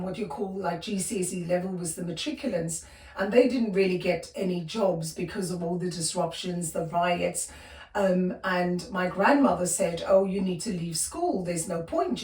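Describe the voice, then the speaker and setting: A woman in her fifties with a South African accent recounts her childhood, circling back through fillers and restarts. Her voice sits low and comes from a little way off the mic. The room rings with echo. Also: moderate pace, darkish tone, distant mic. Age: 50s. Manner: hesitant, many fillers and restarts. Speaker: guest. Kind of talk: personal storytelling interview.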